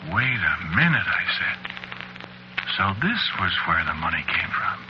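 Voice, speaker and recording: A man's voice: deep voice, very animated, moderate pace. An American actor playing a cagey, slangy working man who narrates his sinister tale conversationally in a 1940s radio drama. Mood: exasperated. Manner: conversational, folksy, slangy storytelling. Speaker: narrator and lead character. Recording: muffled, noisy old radio recording.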